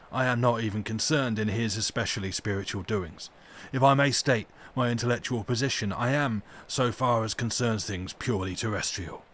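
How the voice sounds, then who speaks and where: A man's voice: on the low side, fairly bright tone, lightly acted. A cunning madman acted out by a man reading a storybook holds forth with pompous superiority. He is emphatic and grandiose, an asylum patient sure of his own cleverness. Faint noise sits behind the voice.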